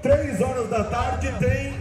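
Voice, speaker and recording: High-voiced commentator, excitable and praising. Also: male voice, slow, loud music behind the voice, a loud crowd. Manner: enthusiastic, praising, shouting at highlights. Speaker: rodeo commentator. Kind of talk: live rodeo commentary. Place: outdoor rodeo arena.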